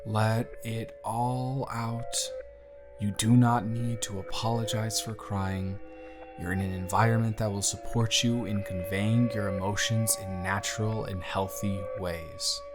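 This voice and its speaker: A man playing a tender, reassuring young boyfriend in an intimate roleplay audio, speaking in a gentle, affectionate, soothing way. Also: lowish voice, little pitch movement, a little slow, lightly acted. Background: instrumental music.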